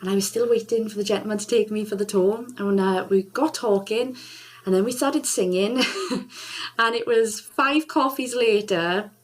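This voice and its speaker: A woman's voice: mid-range voice, moderate pace. A woman singer and charity ambassador chatting in an interview, bubbly and enthusiastic, laughing often as she talks. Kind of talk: casual interview chat.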